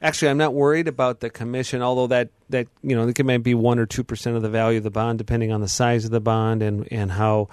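Man, radio finance host, measured explainer, dry close studio sound. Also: medium pitch, little pitch movement, darkish tone, clear, resonant tone, no echo. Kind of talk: radio advice talk.

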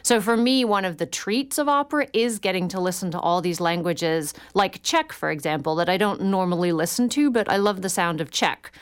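Articulate woman, smooth lowish voice. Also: brisk, hardly any pauses. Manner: articulate, enthusiastic, thoughtful. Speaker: guest author. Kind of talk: radio call-in interview.

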